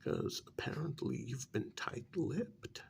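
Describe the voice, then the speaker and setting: Man playing a slow, gravelly, soft-spoken vampire mediator. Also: medium pitch, lively intonation, a little hiss or hum, exaggerated voice. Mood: measured, firm. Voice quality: breathy, half-whispered, gravelly. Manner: measured, halting, chiding. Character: calm, reproachful male vampire mediator addressing a prisoner. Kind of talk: soft-spoken ASMR role-play.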